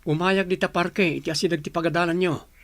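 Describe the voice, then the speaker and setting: A man's voice: high voice, steady tone, brisk, fairly bright tone. A man playing a struggling young student who earnestly asks for help in an audio drama. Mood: pleading, earnest.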